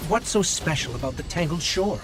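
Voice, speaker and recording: Man voicing an animated little robot companion over music. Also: on the high side, fairly quick, close to an everyday voice. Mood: puzzled, businesslike. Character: small floating robot companion, male, earnest and curious. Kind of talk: video game voice acting.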